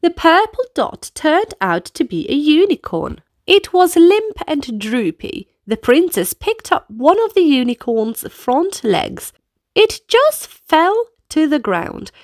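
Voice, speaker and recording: A woman's voice: high-pitched, sing-song, slow, no room sound, clean studio sound. A woman narrating a children's storybook and guiding young listeners through dance movements in an instructive manner. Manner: instructive, clearly paced delivery for young listeners.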